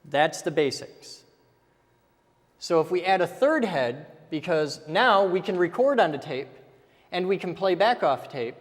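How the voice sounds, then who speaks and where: A man's voice: high-pitched, lively intonation, a little slow, big-room sound. A man teaching step by step in a casual way, often checking in with "okay?" as he goes. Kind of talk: casual technical explanation while drawing.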